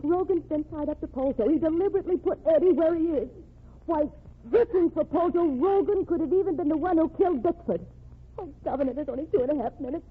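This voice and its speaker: Casting: actress for desperate young sweetheart, high voice, urgent melodramatic pleading, radio crime drama, narrow tinny vintage sound. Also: female voice, fast talker, natural voice. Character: desperate, impassioned young woman fighting for her condemned sweetheart. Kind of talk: old-time radio crime drama acting. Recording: tinny band-limited old radio recording.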